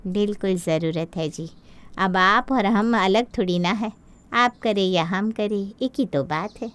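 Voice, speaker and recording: A woman's voice: mid-range voice, a little slow, clear, resonant tone, lightly acted. A woman voicing an earnest middle-aged mother of a bride, eager to please, obliging and insistent, in a Hindi cartoon story. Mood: insistent, reassuring.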